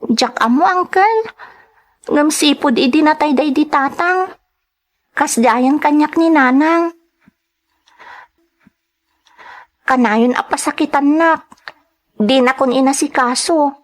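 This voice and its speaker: A woman playing a troubled, pleading high school girl in a dramatic audio serial, speaking in an earnest, plaintive way. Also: unhurried, pauses often. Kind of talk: dramatic Ilocano radio-style serial acting.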